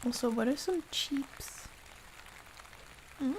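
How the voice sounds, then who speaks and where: A woman hosting an ASMR livestream, chatting casually and softly with viewers in a playful, affectionate, unhurried way, sometimes close to a whisper. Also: high-pitched, slight background noise, rough recording. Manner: soft-spoken, unhurried, drifting into whispers.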